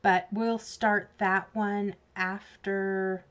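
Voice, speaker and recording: A woman's voice: medium pitch, level tone, drawn-out words, fairly bright tone. A woman with a Canadian accent chatting casually about her crafting projects, giggly and self-mocking. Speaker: crafts vlogger. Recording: slightly dull lo-fi sound.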